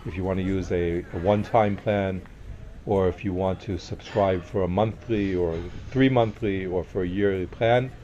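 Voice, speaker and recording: A man narrating a casual walking tour outdoors as a tour guide, relaxed and full of fillers and restarts. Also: low voice, moderate pace, muffled recording. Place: outdoor market compound with wooden walkways.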